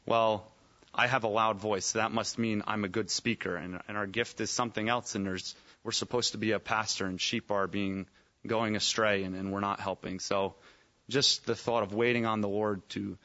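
A man's voice: on the low side, steady tone, normal speed, sharp tone, muffled recording. A man adding a thoughtful, somewhat tentative comment in a group Bible study discussion.

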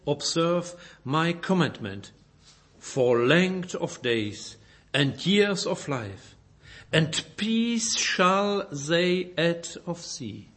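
A man's voice: fairly high pitch, big pitch swings, drawn-out words, fairly bright tone. A Belgian man with a German-speaking accent preaching a sermon in careful, non-native English, often repeating and correcting his words as he reads scripture aloud.

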